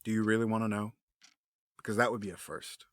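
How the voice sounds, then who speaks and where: A man playing a cold, grieving villain in an audio drama, menacing, sardonic and quick to justify himself. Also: on the low side, little pitch movement, a bit bright, no room sound, close to an everyday voice. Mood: cold, cynical. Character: cold, obsessed male villain, a grieving widower running experiments.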